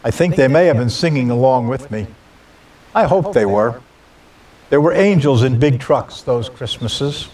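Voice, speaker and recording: A man's voice: medium pitch, lively intonation, measured pace, darkish tone. A man reading a scripted personal holiday story as a storyteller. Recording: distant mic with hiss.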